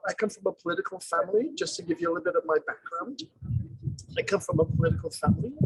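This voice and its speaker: A man presents his summer class over a video call from outside. His voice sits high for a man, a little husky and gravelly. He talks earnestly and pauses to weigh his rhetorical questions. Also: rough recording. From born in Guyana, raised in England. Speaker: summer program teacher. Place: outdoors.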